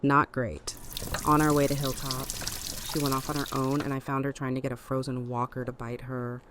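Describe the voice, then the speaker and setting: A woman's voice: a bass voice, flat delivery, moderate pace, background noise. A woman playing a weary, tough middle-aged woman in an audio drama, guarded and grieving, with clipped, plain speech. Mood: subdued.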